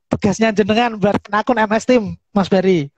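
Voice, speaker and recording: A woman's voice: mid-range voice, normal speed, a little mellow. A woman with a Javanese accent hosting an informal online question-and-answer session. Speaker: host, university staff member. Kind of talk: informal online live talk and Q&A.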